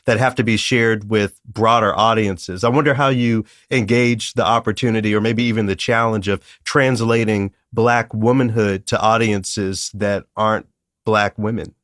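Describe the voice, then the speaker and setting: A man's voice: lowish voice, fairly even intonation, moderate pace, fairly bright tone, no echo, clean studio sound. A man hosting an interview, asking questions with playful asides. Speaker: podcast host.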